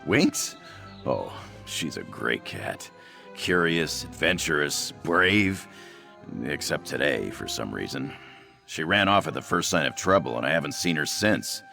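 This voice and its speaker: A worried hard-luck cat guy for a fantasy game, super deep and creaky, kinda hesitant. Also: sing-song, unhurried, pushed and bright, faint music behind, full character voice. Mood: fond, worried. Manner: fretful, hesitant, self-pitying. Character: anxious, self-doubting young male cat-man trader raised by orcs. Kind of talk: fantasy video game dialogue.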